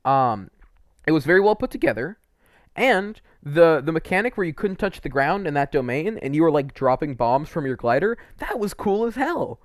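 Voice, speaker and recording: A man's voice: fairly high pitch, sing-song, normal speed, no room sound, studio quality. A young man giving casual, unscripted video game commentary in an informal, enthusiastic way, with some mild swearing.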